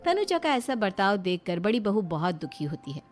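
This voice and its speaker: A woman's voice: medium pitch, big pitch swings, normal speed. Woman narrating a storybook, music underneath. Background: soft music.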